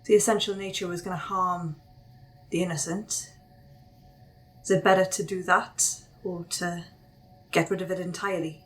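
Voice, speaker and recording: A woman playing a cunning cursed woman who takes raven form, wry and measured as she bargains. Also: fairly low pitch, fairly even intonation, slight background noise, natural voice, low-quality recording.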